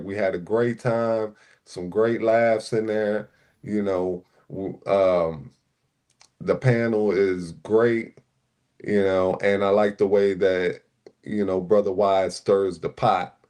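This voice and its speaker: A low-voiced man from Puerto Rico, raised in Newark, chats in a relaxed way on a livestream. He fills his talk with "you know" and speaks as a regular on the panel. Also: takes it slowly. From Puerto Rico, raised in Newark, New Jersey. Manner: laid-back, many "you know" fillers. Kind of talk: casual livestream chat.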